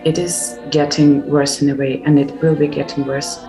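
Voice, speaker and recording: A woman filmmaker speaks in a very low voice with little melodic movement. Her phrasing is formal and deliberate. Music is clearly audible behind her. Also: flat delivery, mellow, poor audio. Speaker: video artist and filmmaker. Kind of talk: documentary interview.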